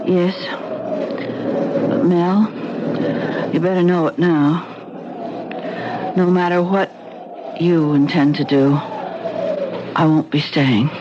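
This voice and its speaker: An actress playing a worried, devoted wife in an old radio mystery drama. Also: female voice, fairly even intonation, takes it slowly, long pauses. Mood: pleading. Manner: pleading, turning to high screams in panic. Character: Liz, anxious loyal young wife. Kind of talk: 1950s radio drama acting. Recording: dull old radio recording with hiss.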